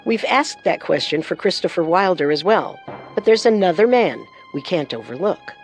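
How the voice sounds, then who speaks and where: A woman co-hosting a scripted true-crime programme, narrating in a measured, serious way with music behind her. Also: on the low side, animated. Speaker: co-host narrator.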